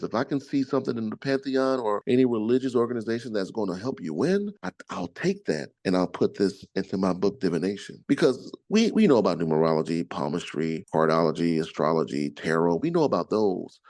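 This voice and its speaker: A man's voice: low voice, lively intonation, a little fast, few pauses, darkish tone, no room sound. A middle-aged man with a rich, soothing voice, talking expansively about spiritual self-help as an interview guest. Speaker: author and podcast guest. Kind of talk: casual interview chat.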